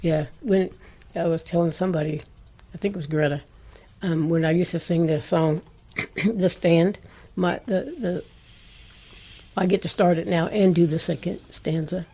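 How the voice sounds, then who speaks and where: A woman with a low voice, co-hosting a casual chat and often affirming with mm-hmms. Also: steady tone, moderate pace, pauses often. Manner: warm affirming listener, frequent mm-hmms. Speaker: co-host.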